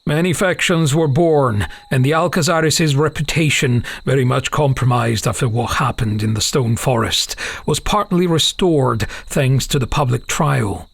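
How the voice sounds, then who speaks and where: A man narrating a scripted fantasy audiobook as a dramatic, measured, solemn storyteller. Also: medium pitch, creaky, slightly rough, no echo.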